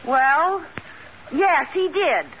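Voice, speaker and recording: High-voiced fibbing housewife in old radio comedy. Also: female voice, expressive, extremely slow, a crowd in the background, full character voice. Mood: defensive. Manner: boastful, evasive. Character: brash fibbing housewife, playful and defensive. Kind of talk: 1940s radio situation comedy acting. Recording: noisy muffled old radio recording.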